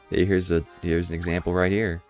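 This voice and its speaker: A man streaming a puzzle game, his voice a bit hoarse, rambling through casual commentary with chuckling asides. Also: deep voice, sing-song, moderate pace, darkish tone, soft background music.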